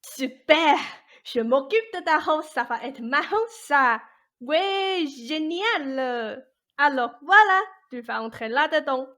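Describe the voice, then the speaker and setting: A woman's voice: high voice, animated, takes it slowly, pushed and bright, full character voice. A woman acting as a jaded, ancient immortal fairy godmother in a radio play, blunt, colloquial and mischievous. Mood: excited, breezy. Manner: blunt, colloquial, world-weary. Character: jaded 874-year-old immortal fairy godmother, grumbling but mischievous.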